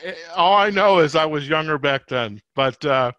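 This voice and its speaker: Bright-voiced male podcast host, close and dry. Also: on the high side, expressive, normal speed, clear, resonant tone, dry recording, highs cut off. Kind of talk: podcast interview hosting.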